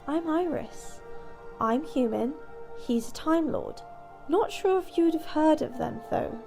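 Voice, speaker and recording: A time traveler's candid young-sounding companion, acted by a woman in a sci-fi audio drama. Her voice is high and mellow with a creaky edge, and she talks matter-of-factly. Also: animated, slow, soft background music, exaggerated voice. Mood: reassuring.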